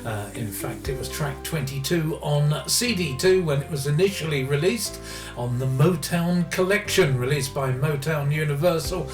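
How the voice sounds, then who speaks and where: A male music radio host with a slightly raised pitch talks through records and dedications. His delivery is informal and a little hesitant. He sounds a bit off the mic, with clear music playing behind him. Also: normal speed, reverberant, far from the mic.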